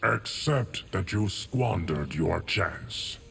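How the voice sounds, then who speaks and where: A man playing an ancient, solemn, stoic keeper of time in a video game, speaking in measured, formal, grave lines. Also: low-pitched, expressive, creaky, very noisy, full character voice. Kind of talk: video game fight intro dialogue.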